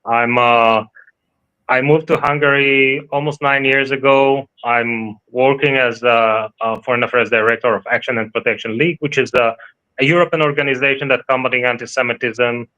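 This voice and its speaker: Halting Israeli-born man, bright dull sound. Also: mid-range voice, steady tone. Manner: halting, many fillers and restarts. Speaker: guest. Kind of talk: interview answers.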